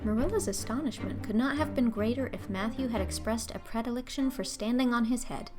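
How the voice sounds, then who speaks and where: A woman's voice: a little high, fairly quick, music in the background. A woman narrating an audiobook in a scripted storybook reading style.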